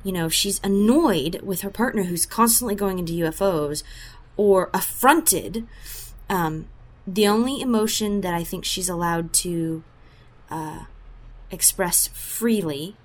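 A woman's voice: on the low side, measured pace. A woman who voices a character in an audio drama, answering interview questions in a thoughtful, rambling way with many fillers and restarts.

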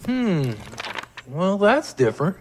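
A man acting as an eager, slightly dopey comedy sidekick. His pitch is notably high and bouncy, and the recording has a faint hiss. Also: sing-song, slow pace, a little mellow, full character voice. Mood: eager, cheeky. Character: eager, dopey, cheeky male crew member. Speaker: podcast crew member playing himself. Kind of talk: scripted comedy skit.

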